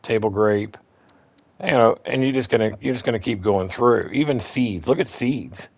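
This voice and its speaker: A man with a slightly hoarse voice walking through his game farm in a casual, rambling way, full of ums and restarts. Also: mid-range voice, moderate pace. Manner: rambling, repeats himself, lots of ums and restarts. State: slightly hoarse, clearing throat. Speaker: gaming guide host. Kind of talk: casual gameplay walkthrough.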